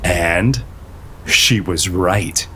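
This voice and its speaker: An actor playing a gruff, bossy gangster boss in a comic audio drama, with tough-guy slang and threats. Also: deep voice, drawn-out words, sharp tone, a little hiss or hum, full character voice. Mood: boastful.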